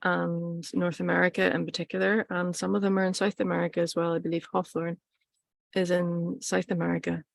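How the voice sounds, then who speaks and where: A woman teaching informally, speaking in a measured, reflective way with pauses between short phrases. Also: on the low side, little pitch movement, gravelly, dry recording, clean studio sound. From Ireland. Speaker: workshop teacher and plant practitioner.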